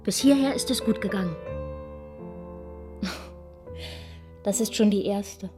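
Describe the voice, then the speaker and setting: A woman's voice: mid-range voice, takes it slowly, music in the background, close to an everyday voice. Radio drama: restless young dreamer, played by a woman, conversational and musing, mid-range voice. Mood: wry, intimate. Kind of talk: radio drama monologue and dialogue.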